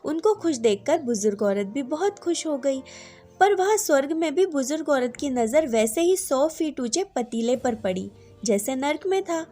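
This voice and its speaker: High-pitched woman telling a story. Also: animated, normal speed, dark tone, faint music behind. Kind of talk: scripted story narration.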